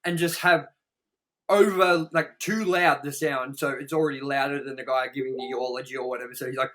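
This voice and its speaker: A man's voice: medium pitch, fairly even intonation, fairly quick, a bit bright. An Australian man hosting a podcast, talking casually and crudely in a ranting, swear-heavy film review. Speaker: podcast host.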